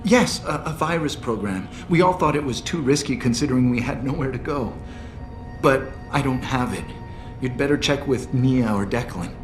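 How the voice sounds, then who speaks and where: Anxious, stammering male scientist for a video game, played by a man; mid-pitched, hesitant, in a roomy echo. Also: lively intonation, normal speed, lightly acted. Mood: uneasy, cooperative. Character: nervous, fearful male captive research scientist. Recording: roomy reverb, faint music.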